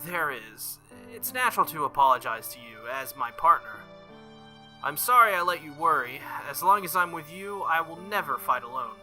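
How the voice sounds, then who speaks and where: A man plays a stubborn, sincere teenage boy in a visual novel, a novice fighter who talks plainly. The voice is bright and a little high, over faint music. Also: very animated, normal speed, heavily acted, rough recording. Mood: sincere, apologetic. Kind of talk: visual novel dialogue read aloud.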